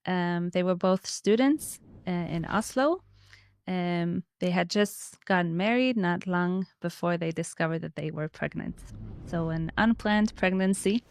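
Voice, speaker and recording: A woman's voice: lowish voice, unhurried, no room sound, studio quality. A woman in her thirties speaking conversationally as an interview guest, telling personal stories and explaining her views. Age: 30s.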